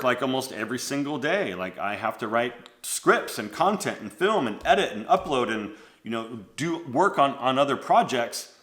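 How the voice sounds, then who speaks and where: A man in his forties, his nose stuffed up from a cold, talks casually straight to camera with a bright, forward tone. He rambles and restarts often, leaning on "like" as he goes. The room around him rings with noticeable echo. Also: medium pitch. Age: 40s. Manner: rambling, self-interrupting, lots of "like". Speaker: fashion channel host. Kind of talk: casual vlog chat.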